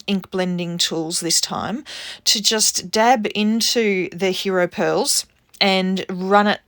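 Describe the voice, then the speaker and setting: A woman's voice: fairly low pitch, no echo, clean studio sound. A woman with a stuffed-up nose from a cold, chattily narrating a casual craft tutorial as its host. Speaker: craft video host and cardmaker.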